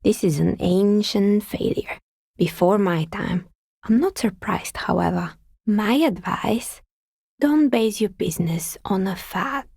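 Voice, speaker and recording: An actress playing a wry, world-weary artisan dicemaker in a video game, speaking in a dry, understated, matter-of-fact way with a husky, breathy voice. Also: female voice, medium pitch, slow pace, a little mellow, dry recording, full character voice. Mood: wry, resigned. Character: wry, world-weary woman artisan who makes novelty dice. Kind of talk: video game character dialogue.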